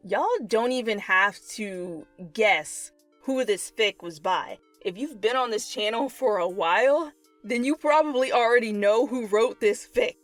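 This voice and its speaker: A woman's voice: a little high, a little slow, dry recording, faint music behind. A woman hosting a fan fiction channel, moving between story narration and playful casual chat. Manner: goofy, playful, slangy in asides.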